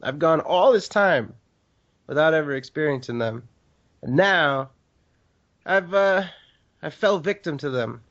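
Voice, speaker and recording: A man's voice: a little high, expressive, measured pace, pauses often, a bit bright, highs cut off. A man co-hosting a casual gaming podcast, a bit congested, talking in a rambling, joking way with lots of fillers. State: congested from allergies. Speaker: podcast co-host.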